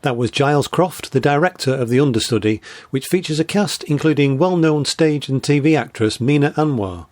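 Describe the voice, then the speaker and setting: A man's voice: a little high, normal speed, few pauses, darkish tone, no room sound, clean studio sound. A man presenting and asking questions as the host of a conversational arts interview.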